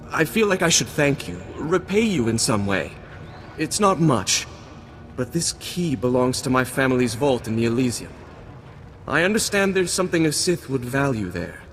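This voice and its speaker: A man's voice: mid-range voice, natural voice. A man playing a stern, conflicted middle-aged Jedi knight in a video game, speaking in a solemn, formal way. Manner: solemn, formal, weighty delivery. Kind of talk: dramatic video game acting. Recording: long hall reverb, music behind.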